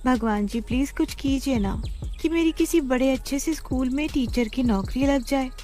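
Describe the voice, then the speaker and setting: Woman voicing young female teacher, earnest, expressive, high-pitched, cartoon story character. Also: moderate pace, natural voice. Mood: pleading. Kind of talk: animated horror-moral story character acting.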